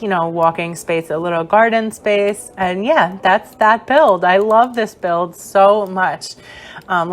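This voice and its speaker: A young woman with a hoarse, fading voice, giving a chatty, rambling gameplay tour voice-over with many fillers. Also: fairly low pitch. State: hoarse, losing her voice. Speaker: gaming creator.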